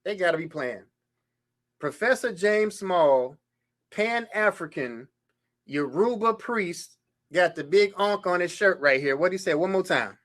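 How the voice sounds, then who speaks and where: A man's voice: high voice, lively intonation, measured pace, fairly bright tone. A man hosting a history show, reading a biography aloud and breaking off into incredulous, mocking commentary on clips. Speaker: show host.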